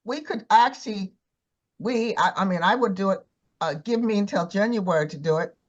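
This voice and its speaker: A woman's voice: mid-range voice, moderate pace, highs cut off. An older woman in her seventies or beyond, talking casually in a group discussion. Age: 70s or older. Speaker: church community member.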